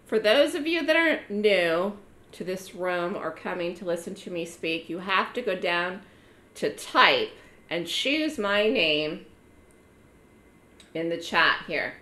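A hoarse woman giving a live online trading lecture to her trading room, chatty and repeating words for emphasis. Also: mid-range voice, bright, forceful tone, echoey room. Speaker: trading educator running a trading room.

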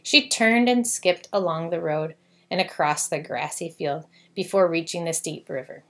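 Female storybook narrator, lower-pitched, expressive scripted read-aloud for children. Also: normal speed.

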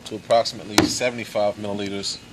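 A man in his forties giving a casual step-by-step how-to demonstration in a backyard, with relaxed fillers. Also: fairly low pitch, rapid-fire, very noisy. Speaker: hobby boatbuilder. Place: backyard outdoors. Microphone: camera mic, handheld camera nearby.

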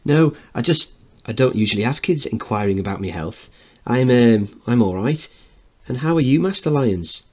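A man voicing a warm working-class mother who comforts a child with gentle, colloquial, hushing talk. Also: mid-range voice, lively intonation, normal speed, husky, voice pushed up. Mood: concerned, kind.

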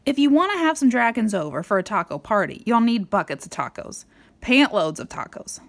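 A woman reading a picture book aloud to a young child in a playful, expressive way with dramatic emphasis. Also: on the high side, brisk, gravelly. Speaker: storybook reader.

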